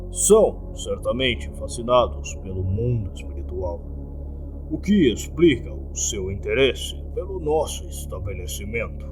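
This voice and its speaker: Horror audio story: elderly male hotelier, acted by a male narrator, evasive, measured and hesitant, over background music. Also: medium pitch, darkish tone. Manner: halting, measured, formal. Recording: music behind the voice.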